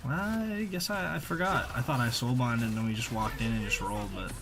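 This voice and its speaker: A man's voice: medium pitch, fairly quick, poor audio. A man giving casual, rambling voice-over commentary on his own video game play, using informal gamer slang.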